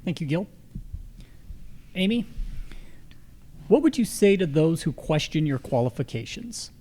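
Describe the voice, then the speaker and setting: An American man moderates a candidate forum, introducing questions and giving each speaker a turn. His voice is a bit higher than average for a man, with a very soft, mellow tone. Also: sing-song, unhurried. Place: live candidate forum on a college campus.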